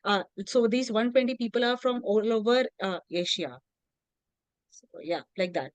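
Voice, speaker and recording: Woman hosting a zoom call, higher voice, smooth and crisp, dry sound, lots of "like" and "you know". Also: moderate pace, no room sound, muffled recording. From India. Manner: encouraging, gives praise, loose fillers. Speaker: session host. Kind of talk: casual moderating on a video call. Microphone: video call.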